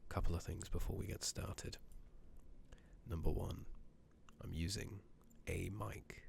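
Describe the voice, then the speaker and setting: A man speaking softly and often whispering close to the microphone, giving gentle ASMR commentary on a game he is playing. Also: low-pitched, unhurried, gravelly. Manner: hushed, gentle, drifting between murmur and whisper. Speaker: ASMR gaming streamer. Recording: steady background noise, keyboard clicks.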